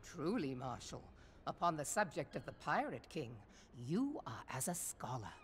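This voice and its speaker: An actress playing a blunt, commanding, battle-hardened admiral in a fantasy game, with stern, formal, archaic speech. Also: female voice, low-pitched, big pitch swings, moderate pace, slight background noise. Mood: measured, formal. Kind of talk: fantasy video game cutscene acting.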